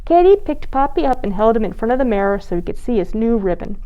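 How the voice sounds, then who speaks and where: Animated sing-song woman reading to kids. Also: a little high, fast, clean tone, highs cut off. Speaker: children's librarian, storytime host. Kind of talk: reading picture books aloud to children.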